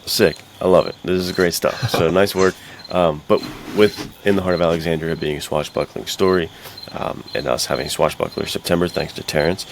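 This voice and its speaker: A man chatting casually and excitedly as a podcast co-host, in slangy bro-ish talk. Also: low-pitched, fairly quick, creaky, few pauses, background noise. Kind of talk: casual enthusiastic podcast chat.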